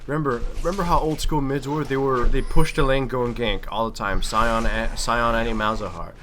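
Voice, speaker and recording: A man's voice: mid-range voice, steady tone, fairly quick, bright, forceful tone, soft background music. A man giving casual, opinionated gaming commentary in a slangy, profane way. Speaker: gameplay commentator and player.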